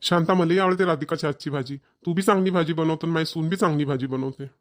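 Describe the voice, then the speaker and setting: An actor plays a fond village grandfather in a homely family cartoon. He talks quickly and plainly at a middling pitch, with a Varhadi Marathi accent from Vidarbha. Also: lightly acted. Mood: cheerful, homely.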